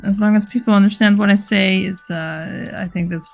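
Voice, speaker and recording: A woman's voice: mid-range voice, steady tone, moderate pace, creaky, faint music behind. A woman with a Dutch accent, chatting casually on a livestream while she works, reading chat aloud with frequent laughs and restarts. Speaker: live streamer.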